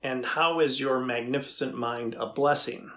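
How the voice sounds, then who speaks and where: A middle-aged man playing a thoughtful, curious AI researcher in a dramatized interview, deliberate and inquisitive. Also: medium pitch, steady tone, a bit bright, natural voice. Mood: curious, kind. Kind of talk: dramatized transcript reading. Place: indoors before a studio backdrop.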